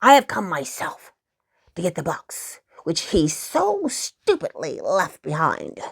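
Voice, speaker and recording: A woman performs a storybook old woman who is greedy and has no shame. She speaks slowly and bluntly, demanding what she wants in a creaky voice. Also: fairly low pitch, wildly swooping pitch, dry recording, studio quality, pitched below the performer's own voice, exaggerated voice. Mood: curt, demanding. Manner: curt and demanding. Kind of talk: storybook character reading.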